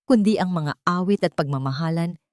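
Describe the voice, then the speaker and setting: A woman's voice: on the low side, brisk, darkish tone, no room sound, clean studio sound. A woman with a Filipino accent narrating a dramatic audiobook story from a script.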